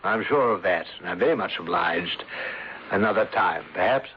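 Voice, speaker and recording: An actor playing an oily, over-agreeable, guarded gentleman villain in a radio drama, speaking with formal politeness. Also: sits low, animated, moderate pace, slight background noise. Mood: smoothly polite. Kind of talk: 1970s radio drama acting. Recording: dull old radio recording.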